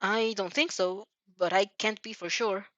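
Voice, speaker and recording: A woman's voice: mid-range voice, fairly even intonation, a little slow, fairly bright tone, no echo, dull audio, natural voice. A woman voicing a cocky, sarcastic teenage girl hero student in a story reading, cheeky in manner. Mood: respectful.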